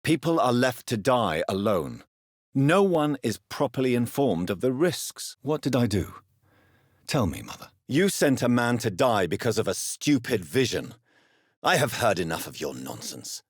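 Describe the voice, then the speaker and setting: A man playing a grave, courteous vampire physician in a video game, with a British accent and a formal, measured, theatrical delivery. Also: mid-range voice, big pitch swings, dry recording, studio quality. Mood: stern, accusing. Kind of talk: dramatic video game voice acting.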